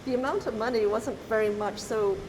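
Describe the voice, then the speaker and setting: A woman's voice: fairly high pitch, noisy background. Woman speaking thoughtfully in a roomy hall. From Zimbabwe. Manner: thoughtful, pausing, many fillers and restarts. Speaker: artist. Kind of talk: reflective conversational talk. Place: gallery.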